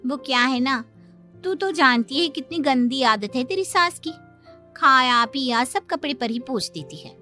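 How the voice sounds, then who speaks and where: A woman's voice: high voice, lively intonation, measured pace, bright, forceful tone, faint music behind, heavily acted. A woman voicing a finicky, gossipy neighbour woman in a story, wary and questioning. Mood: reluctant.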